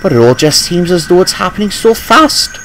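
Fretful male steam engine, worried, high voice, put on by a man. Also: animated, fairly quick, a bit bright, a little hiss or hum, low-quality recording.

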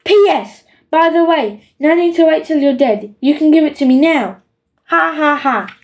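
A woman's voice: high voice, moderate pace, a little mellow, distant mic. A woman voicing a greedy, bossy young boy in a storybook, gleefully insulting and emphatic. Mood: wheedling, scheming. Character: bossy, greedy, scheming young boy dictating his will.

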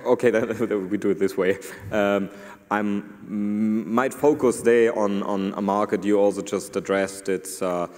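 A man with a fairly low voice speaks on a conference panel. His manner is informal and anecdotal, with loosely built sentences. The sound rings with the long reverb of a big hall. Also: fairly even intonation, unhurried, darkish tone. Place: large conference hall stage, indoors.

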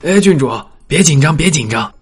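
A man's voice: fairly high pitch, lively intonation, fairly quick, husky. A man playing an anxious, officious, deferential steward of a princely household in an audiobook drama. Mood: urgent.

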